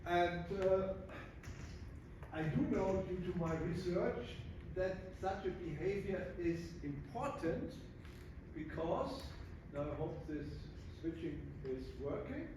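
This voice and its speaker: A German man in his sixties with a German accent, a physiologist giving a presentation, often pausing with "uh" as he searches for words. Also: high-pitched, highs cut off. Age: 60s. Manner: halting, searching for words with many uh fillers. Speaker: physiologist giving a guest talk. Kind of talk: presentation to an audience. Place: large echoey hall. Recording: echoey room from far off, noisy.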